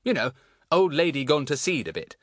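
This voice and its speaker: A man's voice: a little high, big pitch swings, fairly quick, dry recording, studio quality, pitched above the performer's own voice. A man voicing a clever, boastful schoolboy in a children's audiobook, chatting with self-satisfied relish. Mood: gleeful, boastful.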